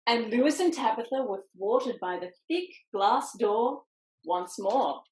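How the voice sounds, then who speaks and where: Female storytime reader, lively swooping delivery, expressive pauses, slightly roomy video-call sound. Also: a little high. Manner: expressive, dramatic pauses and emphasis on words. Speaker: picture book author reading aloud. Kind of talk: animated children's storytime reading with quiet asides. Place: indoors, online video call in an echoey room.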